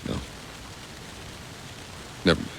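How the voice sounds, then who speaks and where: An actor playing a gruff, sardonic monster hunter in a video game, terse and deadpan, with a gravelly voice. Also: male voice, slow pace, natural voice. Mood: dry, sardonic. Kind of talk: video game cutscene acting. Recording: clear rain and ambient noise behind.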